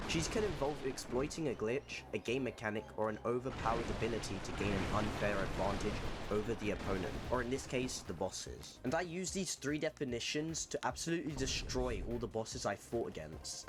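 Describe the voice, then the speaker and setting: A man's voice: medium pitch, lively intonation, normal speed, over loud music. A man giving an upbeat, jokey introduction to a gameplay video. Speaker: gaming channel host. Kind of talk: casual gameplay voice-over.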